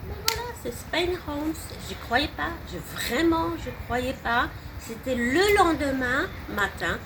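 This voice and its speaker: A middle-aged woman from Poland with a Polish accent, speaking as a non-native and giving an unscripted client testimonial, halting and emphatic, often restarting and repeating words. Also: sits high. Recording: steady background noise.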